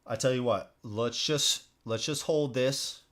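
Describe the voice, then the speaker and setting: Casual man thinking out loud while gaming. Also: medium pitch, measured pace. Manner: informal, thinking out loud, repeats himself. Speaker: streamer hosting a solo board game playthrough. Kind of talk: casual live stream commentary while playing.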